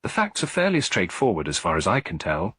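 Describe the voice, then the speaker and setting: A man's voice: low voice, huge pitch swings, brisk, fairly bright tone, no echo, full character voice. A man voicing a tired, strained middle-aged widow in an audiobook, cool, guarded and composed. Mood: resigned, guarded. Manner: clear but weary, composed. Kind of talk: audiobook character dialogue.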